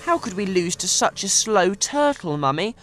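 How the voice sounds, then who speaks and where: Eager cartoon bunny child, acted out, childlike, indignant, animated pitch, music behind. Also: moderate pace.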